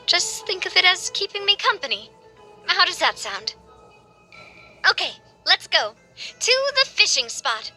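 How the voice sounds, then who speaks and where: A woman's voice: extremely high pitch, very animated, measured pace, pauses often, sharp tone, full character voice. A woman playing a confident, playful young female leader in an anime game, with a breezy, assured manner. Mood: friendly. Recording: music behind the voice.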